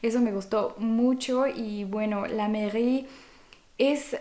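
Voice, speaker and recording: A woman's voice: a little high, steady tone, slow, warm tone. A young Mexican woman, a native Spanish speaker with a Mexican accent, chatting informally to viewers as a vlog host. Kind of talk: casual talk to viewers.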